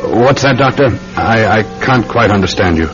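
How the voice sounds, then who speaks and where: An actor playing a polite Scotland Yard police sergeant in a radio drama, with a formal, probing manner. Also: male voice, fairly low pitch, animated, normal speed, fairly bright tone, soft background music. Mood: suspicious. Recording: muffled, noisy vintage-style radio recording.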